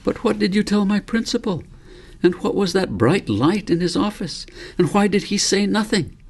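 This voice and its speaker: Man reading as puzzled schoolboy, storybook character, raised pitch, insistent, questioning, doubtful. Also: lively intonation, moderate pace, creaky, heavily acted. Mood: protesting.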